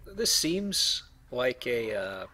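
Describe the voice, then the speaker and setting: A man's voice: mid-range voice, very animated, extremely slow, bright, forceful tone. A man giving casual, rambling, explanatory commentary while playing a video game. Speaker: let's play host, playing the game.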